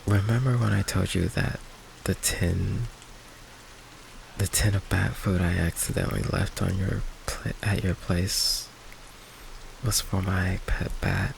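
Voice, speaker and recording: Casting: male actor as tender, sheepish vampire boyfriend for ASMR audio; low, heavily creaky voice, soft hesitant delivery. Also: fairly even intonation, heavily acted, rough recording. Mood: affectionate, sheepish. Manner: soft, hesitant, halting, breathy sighs and chuckles. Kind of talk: intimate ASMR roleplay. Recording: faint noise, rain ambience behind. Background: rain ambience.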